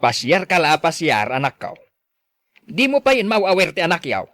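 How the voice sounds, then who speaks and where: Concerned, questioning Ilocano father for podcast drama, played by a man; very expressive pitch, dry studio sound. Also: moderate pace, no echo. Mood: reproachful. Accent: Ilocano Filipino. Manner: questioning, admonishing. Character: concerned, admonishing father of a grown married daughter. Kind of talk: audio drama acting.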